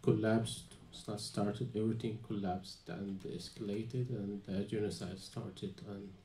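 A man's voice: lowish voice, level tone, unhurried, dark tone, slight background noise. Young Palestinian man, hesitant, echoey room. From Gaza, Palestine. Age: young adult. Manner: hesitant, many fillers and restarts. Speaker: panel guest, student. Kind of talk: personal account at a panel. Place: small dim venue with live audience.

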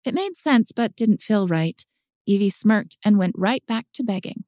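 Woman reading an audiobook story, soft mellow tone, very dry studio sound. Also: mid-range voice, expressive, normal speed, no echo. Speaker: audiobook narrator. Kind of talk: scripted story narration.

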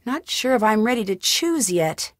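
A woman's voice: fairly high pitch, big pitch swings, moderate pace, no room sound, studio quality. An actress playing a curious, upbeat young woman detective in an adventure game, thinking aloud in short scripted remarks. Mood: thoughtful.

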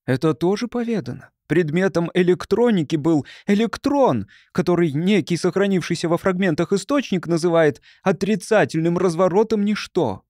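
A man's voice: sits high, lively intonation, moderate pace, hardly any pauses, darkish tone, no room sound, clean studio sound, pitched above the performer's own voice. A man voicing an earnest, easily flustered young monk who speaks stiffly and solemnly. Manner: stiff, defensive, solemnly scholarly.

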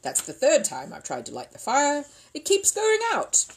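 A woman's voice: sits high, huge pitch swings, normal speed, slight background noise, voice pushed up, full character voice. Woman reading as a cross, grumbling man of the house. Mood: baffled. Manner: grumbling, emphatic.

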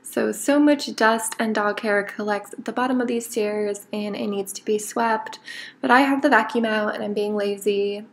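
A woman's voice: medium pitch, normal speed. A young woman narrating her own cleaning video in a casual, candid, lightly self-mocking voice-over. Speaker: cleaning vlogger.

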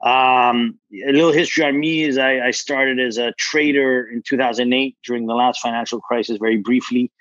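A man's voice: mid-range voice, a bit bright, dry recording, muffled recording. A man in his thirties, a startup founder speaking on a video call, casual, repeating words and saying "like". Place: home kitchen indoors. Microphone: earbuds on a video call.

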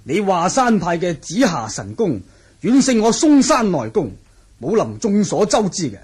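Formal wuxia fighter, high-pitched, very raspy, declamatory, Hong Kong Cantonese, radio drama. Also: male voice, huge pitch swings, normal speed, darkish tone, exaggerated voice. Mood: formal, emphatic. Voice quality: husky. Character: formal, forceful martial-arts fighter of a sworn brotherhood, male. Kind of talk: 1980 radio drama acting.

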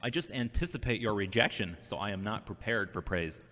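A man's voice: lowish voice, brisk, sharp tone. An actor playing an earnest, defensive, self-doubting man recovering from a brain injury in a scripted audio drama. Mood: downcast, self-critical. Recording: long hall-like reverb.

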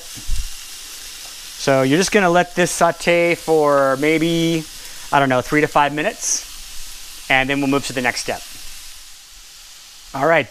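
A man giving a relaxed, casual cooking demonstration at a kitchen stove, explaining each step with the odd filler and self-correction. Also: fairly high pitch, slight background noise, low-quality recording. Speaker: cooking and nutrition instructor. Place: home kitchen at a gas stove, seen from overhead.

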